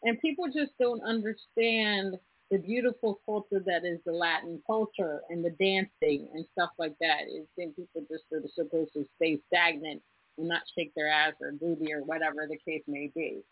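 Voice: medium pitch, fairly even intonation, moderate pace, slightly rough, dry recording. A podcast host talking casually with a guest, chatty and opinionated, telling stories with animation. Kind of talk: casual interview chat. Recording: tinny phone-like line, dry.

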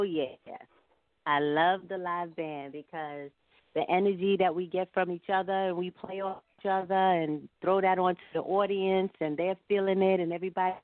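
A woman's voice: fairly low pitch, dry recording. A woman being interviewed as a singer, answering conversationally and reflectively at some length over a phone call. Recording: narrow-band, phone-like line.

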